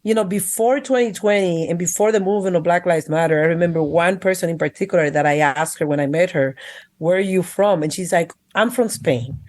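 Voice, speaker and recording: Dominican-born woman guest, lowish voice, lively storyteller, does the quotes. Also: brisk. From Dominican Republic. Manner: animated storyteller, acts out quoted speech. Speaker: guest, educator and coach. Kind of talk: conversational interview.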